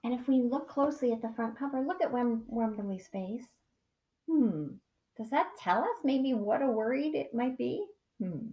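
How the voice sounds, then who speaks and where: A woman teacher reading a picture book aloud to young children, with dramatic emphasis and pauses to ask them questions. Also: on the high side, lively intonation, warm tone, highs cut off. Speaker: pre-K teacher. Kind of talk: expressive children's storybook read-aloud. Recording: echoey room sound.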